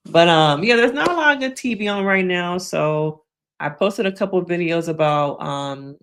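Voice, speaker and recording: A woman's voice: on the low side, lively intonation, unhurried, long pauses. A woman hosting a casual live stream, chatting frankly with viewers, laughing often, and losing her voice.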